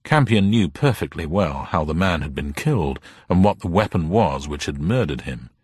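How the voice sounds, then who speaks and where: A man narrating a detective story as a scripted, dramatic reading, with a husky voice. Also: low-pitched, very animated, moderate pace, a little mellow, no room sound, clean studio sound.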